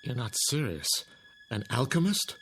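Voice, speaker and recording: A man's voice: medium pitch, very animated, normal speed, creaky, a bit bright, a little hiss or hum. An actor playing a very old, frightened man of science in a radio drama, stubborn and argumentative. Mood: incredulous, scornful. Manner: argumentative, incredulous.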